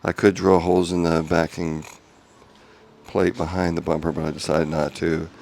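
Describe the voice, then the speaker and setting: Male hobby car restorer, deep gravelly voice, flat monotone delivery, quiet and halting. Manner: quiet monotone talk with many ums and restarts. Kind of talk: casual workshop update video. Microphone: small clip-on wireless mic.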